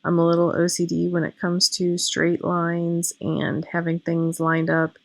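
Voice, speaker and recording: A woman in her thirties, hoarse from losing her voice, chatting through a craft video in a casual voice-over and explaining each step. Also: lowish voice, monotone, unhurried, no room sound. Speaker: planner vlogger.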